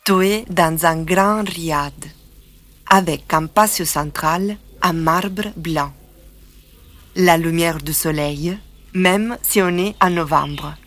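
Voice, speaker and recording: A woman from southern Italy, narrating a documentary in a calm, reflective tone. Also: on the low side, slow pace. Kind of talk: scripted narration.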